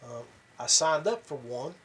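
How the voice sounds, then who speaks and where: A man hosting a podcast, talking casually and haltingly to his viewers, rambling along with pauses and repeats. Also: medium pitch, animated, fairly bright tone, breathy edge. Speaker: podcast host. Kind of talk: casual rambling talk to viewers about tournament fishing.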